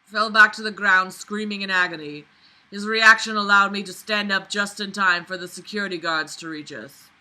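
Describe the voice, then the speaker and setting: A woman's voice: mid-range voice, steady tone, moderate pace, bright, forceful tone, low-quality recording. A woman reading a book aloud and breaking in with sarcastic, indignant, sweary commentary. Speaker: vlogger reading and critiquing a novel.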